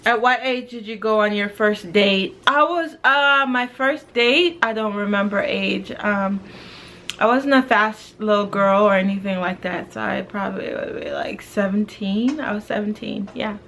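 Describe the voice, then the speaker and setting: A woman's voice: medium pitch, unhurried, a bit bright, slight background noise. A young woman from Jamaica, chatting casually through a Q and A vlog in a laid-back way, full of fillers. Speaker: vlogger.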